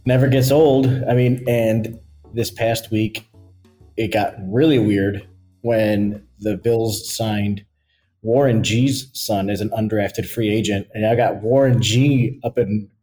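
A middle-aged man co-hosting a podcast, excitable and laughing, with casual words like "freaking" and "dude". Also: on the low side, slow, soft background music. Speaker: podcast co-host and business owner. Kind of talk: casual chat.

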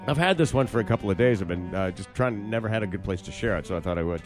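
A man hosting a radio show, telling stories and bantering casually and comedically in an animated way. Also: lowish voice, rapid-fire, faint music behind. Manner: animated storytelling. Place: radio studio.

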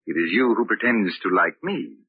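A bitter old man of 1940s radio drama, his voice low and husky. He is coldly polite and condescending, every courtesy laced with spite. The recording is dry, thin and band-limited, like an old radio. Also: big pitch swings, fairly quick, no room sound, phone-quality sound, exaggerated voice. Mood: coldly disdainful.

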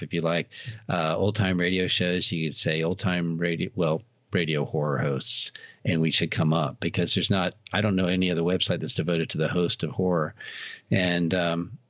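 A man speaking as a podcast guest in a casual, folksy, somewhat halting way, slightly dull recording. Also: deep voice, a bit bright, no room sound. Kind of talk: casual interview chat.

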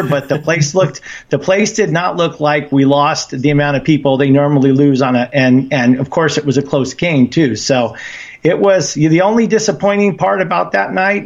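A man talking casually on a podcast as a guest, animated and halting, with many fillers. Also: on the high side. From Southern California. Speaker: guest fan. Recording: dull lo-fi line.

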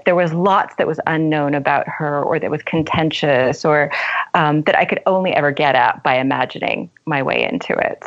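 Lowish woman author chatting about writing, reflective and articulate, slightly lo-fi audio. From left Iran as a child and grew up in America. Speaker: novelist, podcast guest. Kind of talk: reflective literary interview. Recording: dull lo-fi sound.

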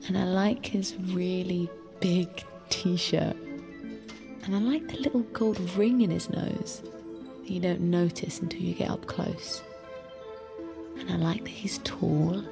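An earnest, innocent young woman, in an audio drama. She talks slowly and deliberately with a creaky edge, taking everything literally, with music behind her. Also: fairly low pitch. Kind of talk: audio fiction acting.

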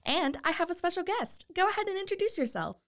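A woman's voice: very high voice, very animated, fast, fairly bright tone. A woman hosting a casual local interview show in a chatty, conversational way. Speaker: host.

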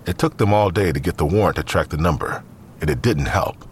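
A man's voice: low-pitched, a little fast, slight background noise. A man reading scripted third-person narration for an audio drama.

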